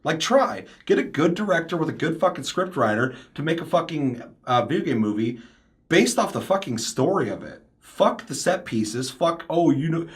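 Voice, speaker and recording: A man's voice: a little high, very animated, normal speed. A man hosting a casual panel discussion, ranting emphatically with heavy swearing and slipping into mimicked quotes of other people. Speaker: podcast host.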